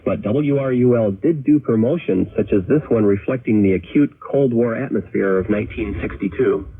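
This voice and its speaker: An American man hosting a radio program, reading scripted narration in a measured, explanatory way to introduce archive recordings. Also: fairly low pitch, narrow, tinny audio, slight background noise. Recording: band-limited shortwave radio sound.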